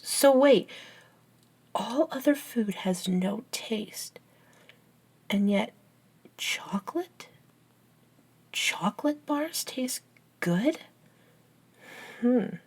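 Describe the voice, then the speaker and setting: A young woman, acted out by a woman in an ASMR roleplay, the devoted best friend with a scientist's curiosity. She takes her time, speaking at a slow pace in a medium-pitched voice. Her manner is earnest and halting, with a gentle teasing streak. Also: slightly rough, exaggerated voice. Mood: amazed, curious. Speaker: amateur audio roleplay voice actor.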